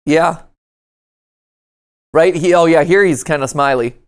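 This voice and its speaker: A man's voice: on the high side, unhurried, clean tone. Male host for casual reaction commentary, playful and joking, conversational delivery.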